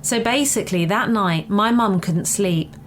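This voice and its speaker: A woman with a medium-pitched voice reads a first-person account as scripted narration. She sounds conversational, and there is a faint noise in the recording. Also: a little slow. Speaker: story reader.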